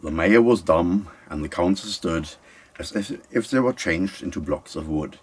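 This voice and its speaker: A man with a very low, rough-edged voice reads narrative verse aloud. He is an amateur reader who stumbles now and then and restarts his words. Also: moderate pace.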